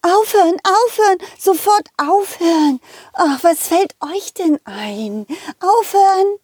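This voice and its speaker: A sour lemon schoolteacher acted out by a woman for a children's audio play. She talks slowly and swings widely in pitch, scolding and hesitant by turns. She sounds easily flustered, close and dry. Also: animated, a little mellow, no room sound, full character voice. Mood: indignant scolding.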